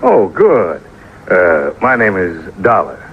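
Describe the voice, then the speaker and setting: A man's voice: deep voice, wildly swooping pitch, takes it slowly, heavily acted. A male radio actor playing a rich, naive Texan oilman with a folksy drawl and rustic grammar. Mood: bashful, proud. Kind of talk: old-time radio acting. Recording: muffled, noisy old radio recording.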